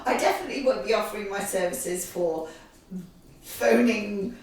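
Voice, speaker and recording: A woman with a squeaky voice, co-hosting a podcast in giggly, casual chat. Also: normal speed. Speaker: podcast co-host. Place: indoors around a kitchen table. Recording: very echoey room, distant mic, faint noise.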